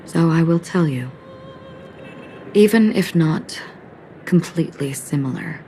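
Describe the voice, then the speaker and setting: A woman playing a melancholic lone wanderer in a video game, speaking in a measured, grave, reflective way with weighty pauses. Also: low voice, expressive, mellow, faint music behind. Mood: calm, melancholic. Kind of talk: video game cutscene voice acting.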